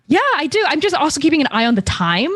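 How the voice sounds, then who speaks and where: A woman's voice: high-pitched, very animated, fast talker, no echo, clean studio sound. A woman playing a tabletop game, chatting casually out of character with the group.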